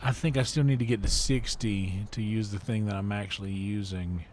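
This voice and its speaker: A man's voice: on the low side, steady tone, moderate pace, a little mellow, slight background noise. A man hosting a game livestream, chatting casually and rambling as he thinks aloud and mutters to himself. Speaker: livestream host and gamer. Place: indoor livestream setup.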